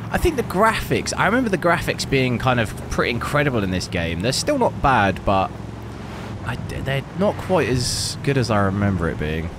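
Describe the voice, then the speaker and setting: A man with a hoarse, lost voice, hosting a PC gaming channel and commenting casually while he plays, thinking aloud. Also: mid-range voice, normal speed, noisy background. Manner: relaxed, rambling, thinking aloud. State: hoarse, losing his voice. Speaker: PC gaming benchmark channel host.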